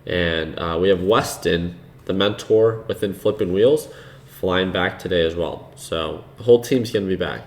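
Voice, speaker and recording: A man hosting a live trading stream, chatting casually with viewers in a relaxed, unhurried way, with a soothing voice and plenty of fillers. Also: deep voice. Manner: relaxed and unhurried, laughs easily, many fillers. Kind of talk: casual live-stream commentary, reading chat.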